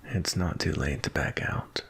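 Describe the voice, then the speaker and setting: Guy doing a sexy gentle vampire for asmr, super crackly low voice, breathy and soothing, takes his time. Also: heavily acted. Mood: soothing, seductive. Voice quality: breathy, husky, half-whispered. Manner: slow, soothing, sensual, drawn-out. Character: seductive male vampire, gentle, attentive lover feeding. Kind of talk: intimate ASMR roleplay.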